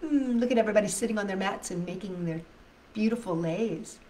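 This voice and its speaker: A middle-aged woman reading a picture book aloud to children, with dramatic pauses and chatty asides to her listeners. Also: mid-range voice. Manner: animated, dramatic pauses, addresses young listeners. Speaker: storytime host reading picture books to children. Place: indoors in a living room. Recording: roomy, distant mic with background noise.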